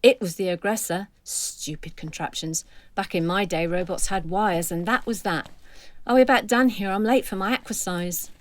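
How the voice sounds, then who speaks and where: A woman's voice: mid-range voice, normal speed, dry recording, natural voice. A woman playing a grumpy, indignant elderly neighbour who complains bluntly in a scripted audio drama. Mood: grumbling. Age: older.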